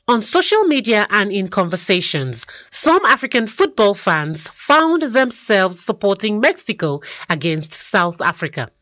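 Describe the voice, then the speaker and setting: A woman presenting a radio news program, reading a scripted report with a lively, clearly paced delivery. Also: medium pitch, no room sound, muffled recording.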